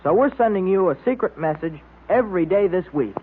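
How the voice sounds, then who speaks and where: Keen boy club leader, high lively voice, vintage tinny radio. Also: a little fast, slightly rough. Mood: keen, official. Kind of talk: 1930s radio club segment. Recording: tinny old radio recording.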